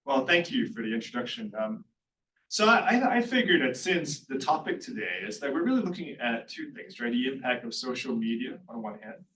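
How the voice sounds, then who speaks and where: A man's voice: mid-range voice, very animated, fairly quick, a bit bright. A man from Singapore with a Singaporean accent, speaking on a panel and often checking in with "right?". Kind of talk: panel discussion talk. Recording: roomy hall, distant mic, faint noise.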